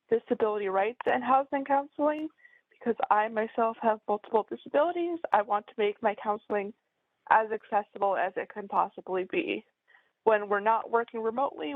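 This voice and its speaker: A woman housing counselor opening a webinar with a measured, scripted welcome. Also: a little high, creaky, no room sound. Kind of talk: webinar presentation. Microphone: video call. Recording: tinny phone-like line.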